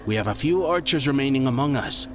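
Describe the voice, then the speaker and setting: A man playing a resolute ninja master in a fantasy audio story, speaking with earnest, measured urgency. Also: mid-range voice, lively intonation, over music, natural voice. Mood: earnest, determined. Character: earnest, resolute male ninja master defending his city.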